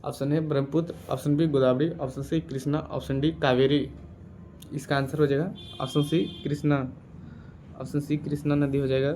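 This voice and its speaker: Higher-voiced, even-toned man quizzing. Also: a little hiss or hum. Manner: repeats each answer deliberately. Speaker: quiz teacher. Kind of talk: reading out exam quiz questions and answers.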